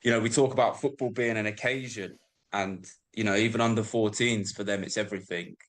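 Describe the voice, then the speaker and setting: Low-voiced British podcast host. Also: male voice, fairly even intonation, a little fast. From United Kingdom. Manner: engaged interviewer, casual storytelling. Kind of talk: podcast conversation.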